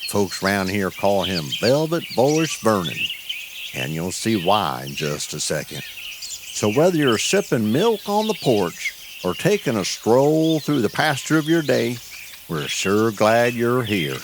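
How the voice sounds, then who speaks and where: A man's voice: fairly low pitch, very animated, takes it slowly, noisy background, heavily acted. A man playing a friendly, folksy cowboy mayor welcoming listeners to his little cow town. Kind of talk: scripted audio story.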